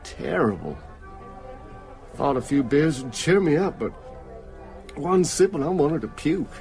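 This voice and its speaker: An actor playing a sick, frightened small-town working man in a radio drama, speaking in a weary, halting, dazed way. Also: on the high side, big pitch swings, darkish tone, faint music behind. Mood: exhausted, haunted.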